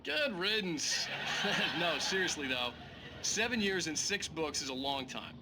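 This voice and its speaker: Wry novelist, slightly high voice. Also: male voice, very animated, a little slow, background noise. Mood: flippant. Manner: dry, flippant. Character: wry, self-assured bestselling male novelist with a temper. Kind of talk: talk show guest chat.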